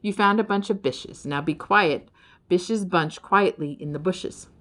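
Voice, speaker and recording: Small male fish-bird creature for a storybook, dull, blunt, deadpan played by a female reader. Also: fairly low pitch, measured pace. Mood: scolding.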